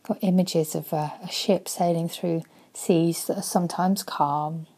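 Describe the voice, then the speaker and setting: A woman in her forties, a life coach, speaking earnestly and softly into a webcam in her living room, often dropping to a breathy near-whisper. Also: fairly low pitch, steady tone, a little slow. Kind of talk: motivational vlog talk.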